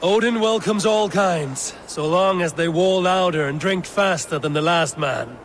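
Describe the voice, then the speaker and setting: A man playing a stoic, honourable Viking warrior leader in a video game, measured and solemn. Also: high voice, slight background noise. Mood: dry, assured. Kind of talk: dramatic video game acting.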